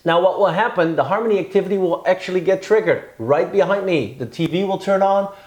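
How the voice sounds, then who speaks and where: Higher-voiced man in an echoey room. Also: brisk, darkish tone. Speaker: product demo presenter. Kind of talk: explanatory product demonstration. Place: living room in a house.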